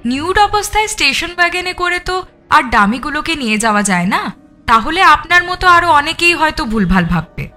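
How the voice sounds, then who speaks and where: A woman's voice: sits high, very animated, brisk, sharp tone, soft background music, exaggerated voice. A woman playing a composed, cunning married artist under suspicion, explaining herself with assurance in an audio drama. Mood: self-assured explaining. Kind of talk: audio story character acting.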